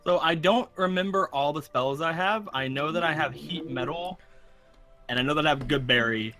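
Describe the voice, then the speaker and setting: A man's voice: on the high side, moderate pace, pushed and bright, soft background music. A man playing a tabletop game, chatting casually and often repeating himself as he stumbles through phrases. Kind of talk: casual gaming chat.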